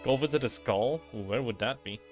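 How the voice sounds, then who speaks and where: A man's voice: medium pitch, moderate pace. A man giving casual, informal and reactive gameplay commentary as a streamer, mildly profane. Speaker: gaming streamer. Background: faint game music.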